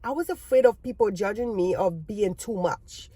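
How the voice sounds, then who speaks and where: A woman's voice: mid-range voice, moderate pace, warm tone, breathy edge, no room sound. A native French-speaking woman with a French accent, talking candidly to viewers in a personal vlog, emphatic and repeating phrases for stress. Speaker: video creator.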